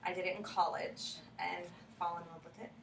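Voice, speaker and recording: A woman casually introducing a play, chatty and effusive, telling it in an animated way. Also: lowish voice, brisk, vocal fry. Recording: roomy, distant mic, faint noise.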